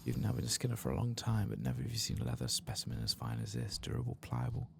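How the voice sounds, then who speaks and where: Very deep, gravelly soft-spoken man. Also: big pitch swings, fast talker, slight background noise. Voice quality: gravelly, very low. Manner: hushed, drifting into whispers. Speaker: game streamer. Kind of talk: soft-spoken ASMR gameplay commentary.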